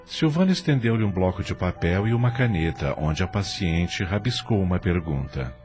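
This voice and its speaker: A man with a Brazilian accent giving formal, measured scripted narration for an audio drama. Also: sits low, expressive, a little mellow, faint music behind.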